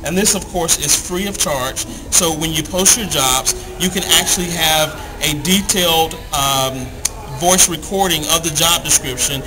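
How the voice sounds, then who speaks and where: A man's voice: on the high side, normal speed, poor audio. A man speaking as a guest, explaining tools in an informal, plain-spoken, instructional way.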